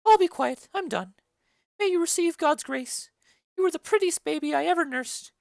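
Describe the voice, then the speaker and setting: A woman voicing a chattering, bawdy old female nurse in a dramatic reading of a play, rambling and loud. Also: extremely high pitch, animated, normal speed, creaky, dry recording, clean studio sound, exaggerated voice. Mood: gossipy. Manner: loud, rambling, giggly storytelling.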